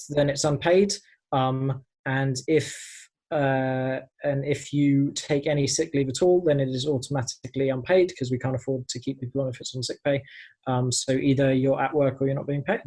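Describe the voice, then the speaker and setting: A man with a medium-pitched voice talks casually as a podcast co-host. His delivery is halting and hesitant, in an informal storytelling style. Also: steady tone, a little mellow, low-quality recording. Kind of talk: casual anecdote telling.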